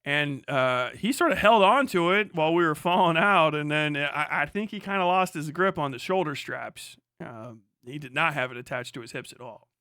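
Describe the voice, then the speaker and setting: A man's voice: fairly high pitch, animated, normal speed, hardly any pauses, bright, forceful tone, smooth, dry recording, clean studio sound. A man doing casual, joking reaction commentary as a live streamer, sarcastic and swearing freely.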